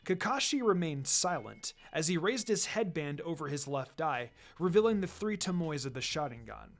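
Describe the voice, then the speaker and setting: Casting: dramatic audiobook-style narrator, mid-pitched and very animated, with music bed. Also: normal speed. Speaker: narrator, fan fiction reader. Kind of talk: dramatic scripted story narration.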